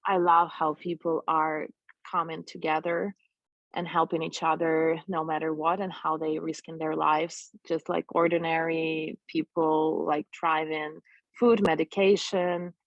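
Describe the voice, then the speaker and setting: A young woman, her Russian, speaks in an earnest, searching way, pausing to find her words. Her voice sits on the low side and sounds dry and close. It comes through as dull, lo-fi video call audio. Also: flat delivery, no echo. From eastern Ukraine. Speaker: interview guest. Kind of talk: reflective personal account.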